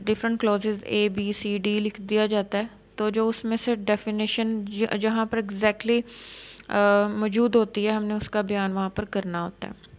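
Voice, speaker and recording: Woman teaching law, pretty monotone, reads clauses out slow then explains. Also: medium pitch. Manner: explains legal text in Urdu, reads English clauses slowly. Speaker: law lecturer. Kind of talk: exam-prep law lecture.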